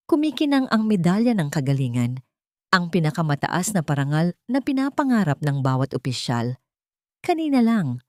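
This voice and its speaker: A woman with a Filipino accent reading a dramatic first-person story in a measured, solemn and emotive way. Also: deep voice, a little mellow, no echo, clean studio sound. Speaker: audiobook narrator.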